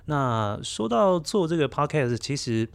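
A man in his forties with a Taiwanese Mandarin accent, speaking thoughtfully as an interview guest and explaining with gentle emphasis. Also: on the high side, very animated, measured pace, gravelly, clean tone. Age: 40s. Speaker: guest, children's story podcaster. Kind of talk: reflective interview talk.

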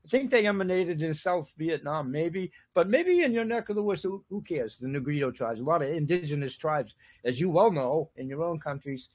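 A man hosts the conversation with long, wandering questions and asides. His slightly high voice is fading as he says he is losing it. He keeps apologizing and chiming in with "correct" and "right". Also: expressive, moderate pace, hardly any pauses. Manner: rambling, apologetic, frequent "correct" and "right" interjections. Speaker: interview host. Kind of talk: conversational interview.

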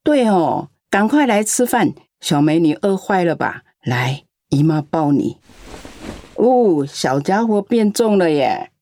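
A woman's voice: fairly low pitch, darkish tone, dry recording, heavily acted. An actress playing a lonely, doting elderly grandmother in a radio drama. She talks in a coaxing, chatty way and coughs now and then. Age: older.

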